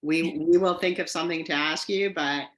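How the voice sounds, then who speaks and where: A woman with a very low voice hosts the panel, chatting loosely and laughing as she passes questions around. Her first language is Hungarian. She speaks on a video call. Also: steady tone, moderate pace, a bit bright. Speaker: host. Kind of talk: casual panel hosting.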